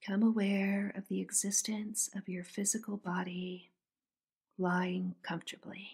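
A woman leads a guided meditation in a soft, breathy voice with a very even tone. Her delivery is slow and soothing, with a noticeable gravelly fry at the edges. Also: medium pitch.

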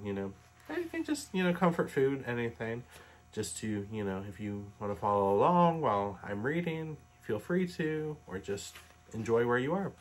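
Young man reading a storybook aloud. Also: mid-range voice. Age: late 20s. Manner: gentle, casual storytelling. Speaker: storybook reader. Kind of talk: reading a Christmas poem aloud. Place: living room couch, indoors. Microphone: webcam facing him at close range.

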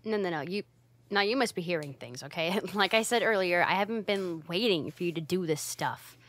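A woman playing a bashful, secretly affectionate young tsundere roommate in an ASMR roleplay, speaking in a halting, flustered way with sighs. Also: lowish voice, a bit bright, natural voice.

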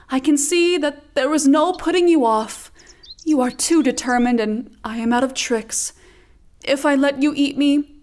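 A woman's voice: high voice, darkish tone. A woman voicing a clever female sheep who feigns meek helplessness in a children's puppet show, pleading and melodramatic.

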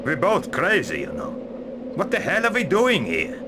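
A man's voice: huge pitch swings, normal speed. An actor playing a wry, fatalistic soldier in a fantasy game, a man with a probing, doubting way of talking. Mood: nervy fatalism. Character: wry, doubting soldier comrade before battle. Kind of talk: fantasy video game cutscene acting. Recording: very loud rumbling background noise.

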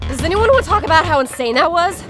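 A woman's voice: very high voice, animated, fast. A woman playing a tough, serious young fighter in a video game, suspicious and sardonic. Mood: incredulous. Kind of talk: video game cutscene acting. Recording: game audio under loud music.

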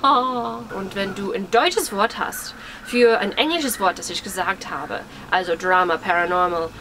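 A young woman around twenty talks in a casual vlog style at a medium pitch. She is hesitant and self-deprecating, often searching for the right word. She is recorded outdoors, with clear ambient and traffic noise behind her. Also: measured pace. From Germany, living in New York. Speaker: vlogger. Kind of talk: casual, rambling vlog chat to camera. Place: outdoors on a porch among trees. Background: outdoor ambience, passing cars.

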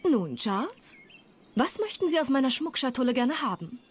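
An actress playing a shrewd, affectionate royal princess with a formal, discreet manner in a dramatized documentary. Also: female voice, high-pitched, big pitch swings, normal speed, a little hiss or hum.

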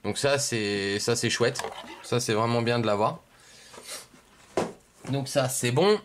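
A man's voice: mid-range voice, slow pace, fairly bright tone, a little hiss or hum. A man from giving casual, hesitant, rambling unboxing commentary indoors at a table. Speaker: video host, card collector.

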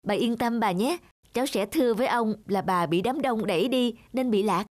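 A woman's voice: fairly high pitch, normal speed, lightly acted. A woman voicing a kind, dutiful young male police officer in a cartoon, polite and reassuring. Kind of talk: cartoon dub acting.

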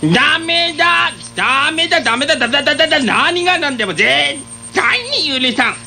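A man's voice: very high-pitched, expressive, brisk, bright, forceful tone, exaggerated voice. A stubborn, old-fashioned father in a comic radio play. He blusters pompously in old-man diction and trips over his words when scandalised, with music underneath. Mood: furious, forbidding. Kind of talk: comic radio drama acting.

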